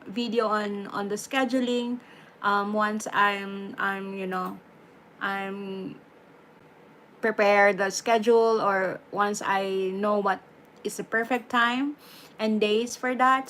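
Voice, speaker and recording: Slow-talking Filipino woman on a livestream. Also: medium pitch, a little hiss or hum. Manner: relaxed, chatty, advice-giving, mixes Tagalog words. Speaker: livestream host. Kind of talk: casual livestream chat.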